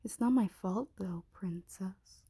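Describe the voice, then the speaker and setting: A woman playing a menacing, possessive dragon shifter queen in an ASMR roleplay, commanding and often slipping into breathy whispers. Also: mid-range voice, slow pace, warm tone, no echo, full character voice. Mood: taunting, threatening.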